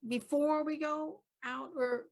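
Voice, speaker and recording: A woman's voice: high-pitched, fairly even intonation, slow pace, creaky, heavily acted. A woman improvising a nervous younger sister who stammers and worries before a date. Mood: anxious. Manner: anxious, hesitant, stammering.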